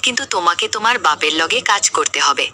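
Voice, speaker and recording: A woman's voice: on the low side, expressive, quick pace, sharp tone, a little hiss or hum, pitched below the performer's own voice. A woman voicing a strict village mother in a cartoon, firm and dismissive. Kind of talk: cartoon voice acting.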